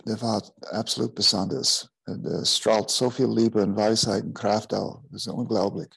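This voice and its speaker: Gravelly low-voiced man. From United States. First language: English. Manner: halting, searching for words in a second language. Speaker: teacher of a healing school. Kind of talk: personal storytelling in a talk.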